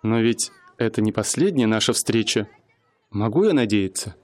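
A man's voice: fairly low pitch, animated, moderate pace, darkish tone, natural voice. An actor playing an earnest, humble young man who works as a restaurant cashier, speaking eagerly and politely in a radio play.

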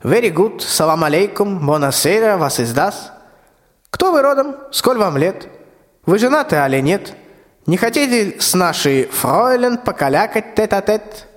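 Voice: medium pitch, big pitch swings, unhurried. A performer playing a scheming, bossy old tsar in a rhymed radio play, with declamatory folksy speech. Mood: fawning, eager. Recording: long hall-like reverb.

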